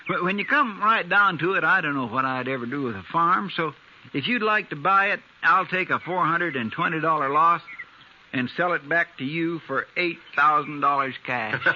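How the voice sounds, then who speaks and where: An American actor playing a folksy, humble deputy in a 1950s radio western, hesitant and rambling. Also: male voice, fairly high pitch, moderate pace, sharp tone. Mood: sly, mild. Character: folksy, humble, good-natured Old West deputy. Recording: muffled, noisy 1950s radio recording.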